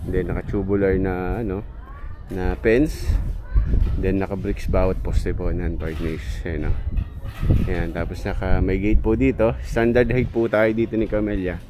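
A man in his thirties with a fairly low voice leads a casual walkthrough of a house he renovated. He talks to a handheld camera, points things out with lots of fillers, and steady noise sits behind him. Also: a little slow. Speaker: contractor showing a renovated house. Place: outdoors on a street and inside an empty house. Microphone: handheld action camera.

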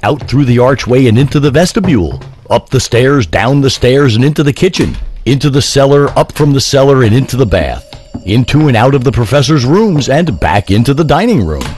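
Animated male storyteller, lively pitch. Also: brisk. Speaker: narrator. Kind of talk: theatrical scripted story narration.

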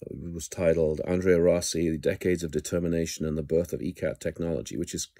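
Hesitant low-voiced middle-aged man. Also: little pitch movement, mellow, dry recording. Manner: halting, frequent ums and restarts. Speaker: news blogger. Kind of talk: casual video commentary. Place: indoors at home, small room. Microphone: phone camera held close.

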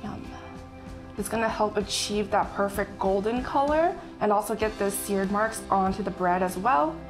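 A young woman walks through a recipe in a sunny home kitchen, giving friendly step-by-step instructions as she works. Her voice sits at an ordinary middle pitch. Light music plays underneath, and food sizzles on the grill. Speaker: chef demonstrating a recipe. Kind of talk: casual cooking demonstration. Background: background music and sizzling food.

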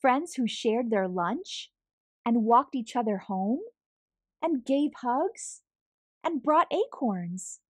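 A woman reading a picture book aloud to children in an expressive, deliberate, theatrical style. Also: a little high, no echo. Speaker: children's story reader.

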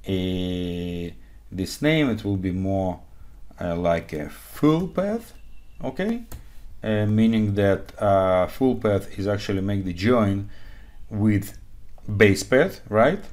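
A man in his forties with a fairly low voice speaks English as a second language. He walks through code at his desk at home, checking in with "okay?" as he goes. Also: animated, slow, a little mellow. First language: not English; speaks Hebrew, Russian, Ukrainian. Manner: explains step by step, frequent "okay?" checks. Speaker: conference speaker. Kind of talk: live coding talk.

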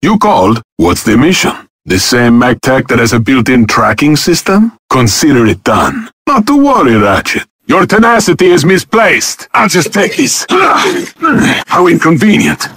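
An actor voicing a suave, cocky robot duelist in a video game, with haughty, theatrical gallantry. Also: male voice, fairly high pitch, wildly swooping pitch, measured pace, fairly bright tone, breathy edge, no echo, studio quality, full character voice. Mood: cool, ready.

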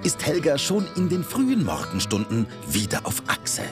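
A man's voice: a little high, wildly swooping pitch, normal speed. A man narrating a reality show in a wry, playful, teasing voice-over. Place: voice-over studio. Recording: music bed behind voice-over.

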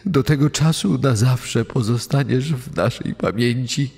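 A bereaved father played by a man delivers a eulogy in a true-crime drama. His voice is husky and gravelly, halting with heartbreak. Long hall reverb surrounds it, with music underneath. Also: medium pitch, darkish tone. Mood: grieving, pleading. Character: grieving father giving his son's funeral eulogy.

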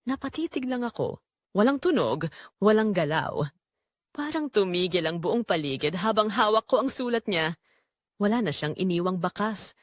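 A woman narrating an audio drama in the first person as a wistful young man, in an earnest, measured, dramatic storytelling manner. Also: medium pitch, no room sound, clean studio sound, close to an everyday voice. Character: wistful young man recalling a secret romance.

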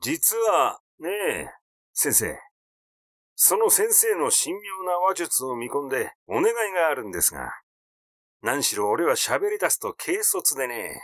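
A man's voice: medium pitch, fairly bright tone, no room sound, studio quality. A man voicing a glib, cheeky, eccentric male character in an audiobook, brash and colloquial in a teasing way.

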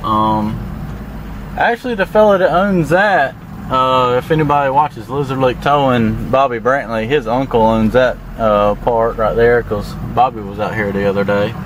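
A man giving a relaxed, plain-spoken tour of his land, walking and then driving. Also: medium pitch, normal speed, rough recording. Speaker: landowner showing his farm. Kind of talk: casual walk-and-drive tour narration. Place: outdoors in fields, then inside a moving pickup truck. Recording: clear background noise of truck engine and outdoors.

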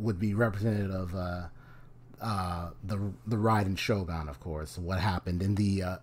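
A young man casually reacting to a game trailer at home, speaking in a rambling, hesitant way into a desk mic. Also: on the low side. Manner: rambling, hesitant, many restarts, relaxed. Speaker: video game reaction youtuber. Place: small room at home, indoors. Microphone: desk microphone on a stand.

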